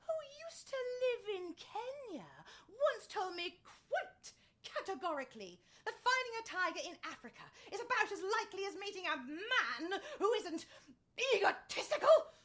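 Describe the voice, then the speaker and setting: A woman playing a proud, sharp-tongued agency boss in a radio comedy, sarcastic, haughty and emphatic. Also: very high voice, very animated, moderate pace, full character voice. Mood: scornful, sarcastic. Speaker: actor in a radio play. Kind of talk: theatrical radio comedy acting.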